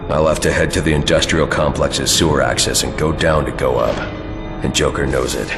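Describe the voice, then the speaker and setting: An actor playing a grim, stoic masked vigilante in a video game, gravelly, terse and deadpan. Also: male voice, very deep voice, a little fast, over music. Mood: grim, determined. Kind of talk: video game cutscene acting.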